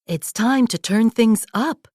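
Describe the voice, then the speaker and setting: A woman's voice: on the high side, slow pace, darkish tone, no room sound, clean studio sound. A woman voicing a gleefully wicked witch mother in a children's storybook, gloating and mischievous. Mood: scheming.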